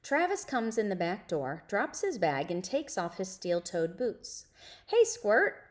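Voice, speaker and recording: A woman reading a children's novel aloud in an expressive storytelling style. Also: mid-range voice, a little slow.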